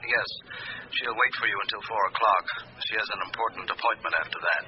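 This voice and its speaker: An actor playing an earnest, deferential junior police inspector reporting in a radio drama, slightly hesitant. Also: male voice, lowish voice, steady tone, fast talker, muffled recording, natural voice. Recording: noisy band-limited radio broadcast recording.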